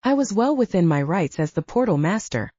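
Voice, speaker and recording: Lady as a scheming magic bad guy, haughty and defiant, audiobook, mellow voice, dry recording. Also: fairly low pitch, sing-song, brisk, dry recording, highs cut off. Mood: haughty, menacing. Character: proud, scheming male supernatural villain.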